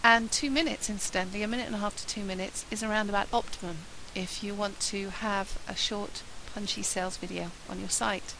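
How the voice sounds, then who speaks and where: A woman talking about marketing in a chatty, upbeat way, her voice husky from a flu. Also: mid-range voice, steady tone, a little fast, few pauses, sharp tone, slight background noise, rough recording. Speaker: marketing presenter. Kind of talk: solo talk to camera.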